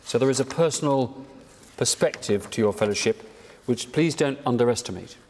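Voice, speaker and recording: Older british guy giving a speech, measured and emphatic, mid pitch, big reverby hall. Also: a little mellow. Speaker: charity director addressing seminar. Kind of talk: prepared public address. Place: indoor hall, at a lectern.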